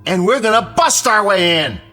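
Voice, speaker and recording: Casting: belligerent suburban male mob leader, high and bright, bullying radio drama delivery, music underneath. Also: big pitch swings, normal speed, full character voice. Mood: defiant, threatening. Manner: aggressive, bullying. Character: belligerent suburban neighbor leading a panicked mob.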